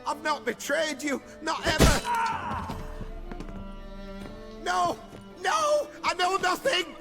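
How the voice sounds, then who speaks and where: A man voicing a terrified, pleading prisoner under interrogation in a video game, with a shrill, strained voice. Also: big pitch swings, drawn-out words, gravelly, music in the background, full character voice. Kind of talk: video game cutscene acting.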